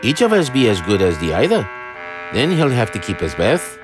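A man's voice: mid-range voice, huge pitch swings, moderate pace, music in the background. A man voicing a blunt, feisty male steam engine with a Scottish accent. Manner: blunt, scolding.

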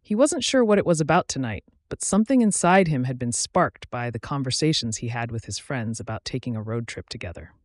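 Man, story narrator, scripted reading, medium pitch, close dry sound. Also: animated, fairly quick, few pauses, no echo.

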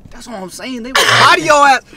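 A man's voice: animated, quick pace. A young American man doing casual, slangy prank vlog banter in a boisterous way inside a car. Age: young adult. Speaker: prank video host. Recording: noisy car interior, loud vehicle rumble.

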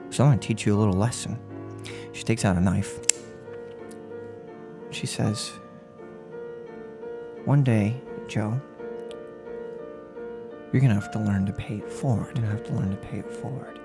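A man in his twenties playing a soft-spoken, unhinged serial killer in a menacing, mock-polite ASMR role play, close to the microphone. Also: lowish voice, normal speed, creaky, pauses often, over music. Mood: quiet menace. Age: 20s. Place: room at home. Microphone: close desk microphone on boom arm.

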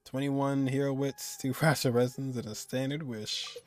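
A man's voice: medium pitch, fairly even intonation, normal speed. A man in his twenties giving casual live reaction commentary as he streams a game. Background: game music.

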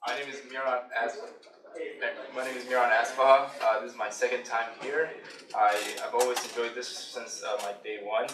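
A man introducing himself as a returning guest, speaking briefly and softly. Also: medium pitch, level tone, moderate pace, a crowd in the background. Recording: distant, roomy, clear noise.

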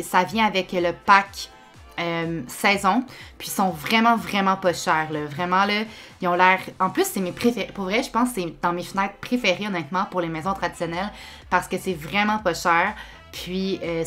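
A woman's voice: medium pitch, a bit bright. A young woman with a Québécois French accent, chatting casually over her game footage with lots of fillers and restarts. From Quebec. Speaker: YouTuber.

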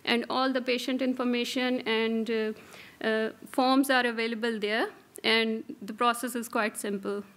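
A woman in her thirties presents at a conference podium, her slightly high voice held on a narrow, even line. She talks carefully, pausing often on fillers as she moves through her points. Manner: careful, hesitant delivery with many fillers. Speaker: registry coordinator presenting. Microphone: podium microphone.

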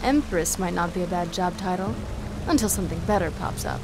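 A woman's voice: lowish voice, fairly quick, music in the background, lightly acted. A woman playing a tough, sardonic half-vampire action heroine in a video game cutscene, wry and mocking. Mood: wry, weary.

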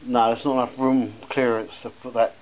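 A man rambles through a casual chat, full of ums and restarts, in a middle-pitched voice. He is filming himself outdoors in a garden with a camera mic. The audio is a little dull, with faint outdoor ambience and church bells behind him. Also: flat delivery, a little fast, slight background noise. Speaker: vlogger showing auction finds.

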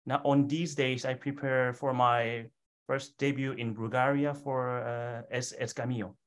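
A man in his thirties with a Korean accent, introducing himself in halting English on a video call. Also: medium pitch, level tone, a bit bright. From South Korea. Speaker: opera singer, competition finalist. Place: indoor room on a video call.

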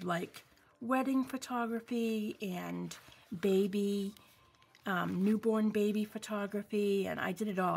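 A woman's voice: medium pitch, vocal fry, slight background noise. A woman in her forties from New England, chatting casually with many ums while crafting at home under an overhead camera. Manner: rambling, warm asides with many ums. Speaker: craft vlogger. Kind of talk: casual craft-along chat. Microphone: overhead camera, hands only.

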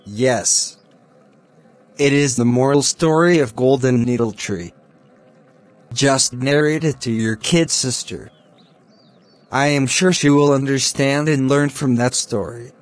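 A man playing a kind, helpful young man in a children's cartoon, speaking in simple, measured scripted lines. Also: mid-range voice, close to an everyday voice. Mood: helpful, concerned.

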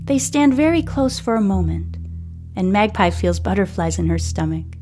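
Female podcast narrator, mid-pitched, measured scripted storytelling, prominent music bed. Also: animated, a little mellow. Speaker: podcast host and book narrator.

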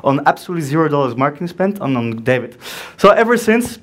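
A young Belgian man with a French accent, giving an animated startup conference talk on stage and acting out quick dialogues. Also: fairly high pitch. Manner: animated, acts out dialogue in quick back-and-forth. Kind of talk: energetic keynote storytelling. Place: dark event room with a stage and audience.

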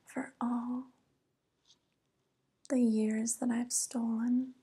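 A young woman playing a vain, sly witch in a soft-spoken ASMR roleplay, breathy and whispery, cooing with false tenderness close to the microphone. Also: a little high, level tone, heavily acted. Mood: smug, sly. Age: young adult. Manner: slow, cooing, gently condescending. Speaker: ASMR performer.

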